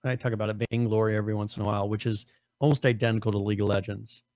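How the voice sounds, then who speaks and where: A man co-hosting a casual gaming podcast, losing his voice, his throat strained and cracking on high notes, rambling with many restarts. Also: fairly even intonation, rapid-fire, darkish tone, dry recording. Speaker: podcast co-host.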